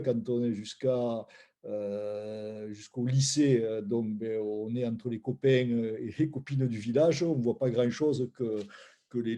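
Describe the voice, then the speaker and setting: A man's voice: medium pitch, mellow. A man, telling his story informally as a guest, in an anecdotal, digressive way. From Roussillon, southern France. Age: 60s. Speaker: founder of a food brand, guest. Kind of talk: informal spoken presentation of life story.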